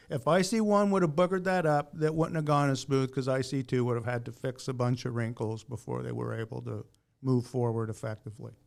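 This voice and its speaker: A man's voice: mid-range voice, gravelly, darkish tone. A man chatting casually about his trade on a podcast, plainspoken, folksy and emphatic. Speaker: podcast panelist and former firefighter. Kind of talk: casual opinionated shop talk.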